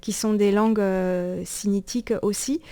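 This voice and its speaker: A woman presenting in a conversational radio discussion, explaining things with frequent hesitant pauses. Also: mid-range voice, steady tone, dark tone.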